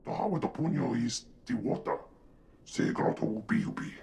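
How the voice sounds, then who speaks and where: An actor playing an excitable, gravelly male alien creature babbling in an invented tongue for a video game. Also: medium pitch, normal speed. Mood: agitated.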